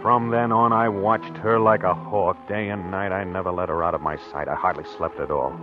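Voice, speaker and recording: An actor playing a haunted, obsessive husband in a halting, confessional 1940s radio drama style. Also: male voice, low-pitched, steady tone, loud music behind the voice, natural voice. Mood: brooding, haunted. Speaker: actor narrating in character. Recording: muffled old 1940s radio recording.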